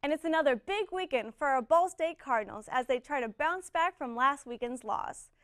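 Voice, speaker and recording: A young woman, a student news anchor and reporter, reading scripted news in a crisp, even newscaster delivery. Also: high-pitched, moderate pace, no echo, clean studio sound. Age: young adult.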